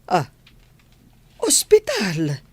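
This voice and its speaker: A woman's voice: lowish voice, wildly swooping pitch, drawn-out words, darkish tone, slightly rough, no room sound, exaggerated voice. A woman with an Ilocano accent acting in a radio drama as a chatty, inquisitive village woman. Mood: curious, probing.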